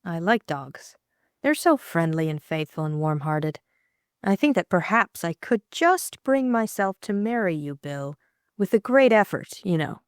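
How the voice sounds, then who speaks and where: A woman voicing a witty, teasing young Englishwoman, dry and brisk. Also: on the low side, very animated, clear, resonant tone, no echo. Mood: wry.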